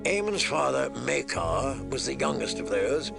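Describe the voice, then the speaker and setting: Casting: male reader as gruff old commander, heavy gravel, swooping animated storytelling, fantasy audiobook. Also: medium pitch, unhurried, music in the background, heavily acted. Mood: blunt, knowing. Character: gruff old military commander, knowing and blunt. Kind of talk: audiobook character reading.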